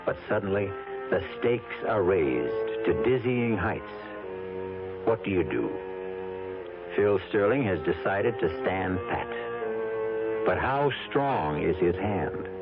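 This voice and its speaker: Deep, slow, ominous mystery host. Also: male voice, very animated, exaggerated voice. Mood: ominous, suspenseful. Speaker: host and narrator of a mystery anthology. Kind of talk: 1970s radio drama narration. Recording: muffled vintage radio broadcast with music.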